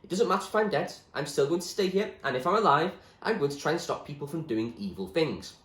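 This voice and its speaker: Idealistic storybook male, acted by a man: dreamy yet stubborn, earnest, insistent, higher-pitched, echoey. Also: expressive, fast, fairly bright tone. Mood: firm, earnest.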